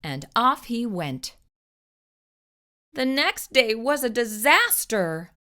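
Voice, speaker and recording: A woman narrating a children's storybook in an expressive, deliberate way as a storyteller. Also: on the high side.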